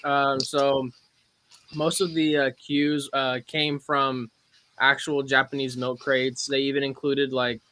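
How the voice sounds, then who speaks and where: A man's voice: medium pitch, flat delivery, slow pace, sharp tone. A man explaining a sneaker design casually, with plenty of hesitant fillers. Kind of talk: casual livestream chat.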